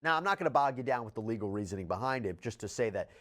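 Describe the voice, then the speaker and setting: A man's voice: mid-range voice, animated, rapid-fire, fairly bright tone. A man delivering scripted legal news commentary in an explanatory, emphatic way.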